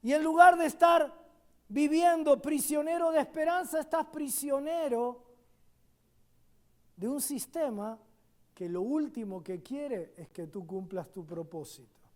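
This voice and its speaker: An Argentine man preaching at a church service with a very high voice. He takes his time, letting each phrase land, and swings from loud emphatic declarations to quiet asides. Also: a little slow. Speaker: guest pastor. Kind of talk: sermon.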